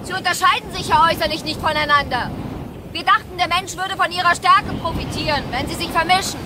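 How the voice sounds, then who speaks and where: An actress playing a guilt-ridden geneticist and mother, speaking earnestly and often dropping into hushed tones. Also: extremely high pitch, a little fast, background noise. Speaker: scientist. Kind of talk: radio play acting.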